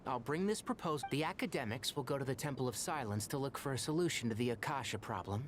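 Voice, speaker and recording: Casting: male actor as organised, earnest scholar for a fantasy game, mid-pitched, lively intonation, explanatory delivery. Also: fast, background noise, natural voice. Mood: resolute. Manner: articulate, explanatory. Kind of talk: anime-style video game voice acting.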